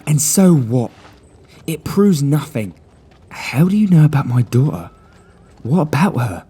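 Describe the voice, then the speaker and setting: A man voicing a pompous, nervous London merchant with a shrill, huffy manner and a London accent. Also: very animated, a little slow, warm tone, breathy edge, exaggerated voice. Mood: shaken.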